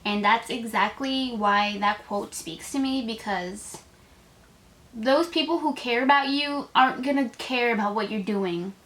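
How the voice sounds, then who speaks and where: A woman's voice: a little high, a little slow, fairly bright tone, rough recording. An eighteen-year-old woman chatting to camera in a casual vlog, gushing and full of "like" fillers. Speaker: vlogger. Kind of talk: casual tag video chat to camera.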